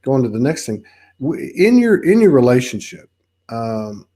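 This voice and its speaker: Texan man, podcast host, halting rambling speech, video call audio. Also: mid-range voice, sing-song, dark tone. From Texas. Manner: rambling, halting, many restarts. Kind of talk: casual spiritual interview chat.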